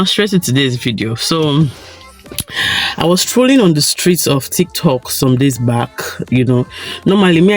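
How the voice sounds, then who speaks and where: Croaky-voiced Nigerian woman vlogging. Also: a bass voice. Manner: chatty and opinionated, rising to emphatic, rhetorical questions. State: croaky, tired voice. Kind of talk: casual opinion vlog.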